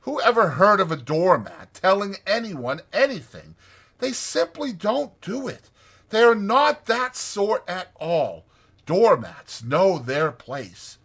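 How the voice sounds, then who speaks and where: A man's voice: sits high, sing-song, slow pace, fairly bright tone, slightly rough, pitched above the performer's own voice, heavily acted. A man voicing a naive, fussy male mole from a storybook, wordy and exclamatory in his delivery. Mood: pettish. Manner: wordy, indignant or gushing, exclamatory. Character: naive, fussy male mole, pettish yet easily amazed.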